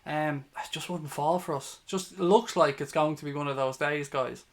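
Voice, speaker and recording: A man's voice: on the high side, moderate pace. A man with a Dublin Irish accent commentating live on football for a fan livestream, blunt, sweary and opinionated. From Ireland, from Dublin. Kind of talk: live football watch-along commentary, chatting with viewers.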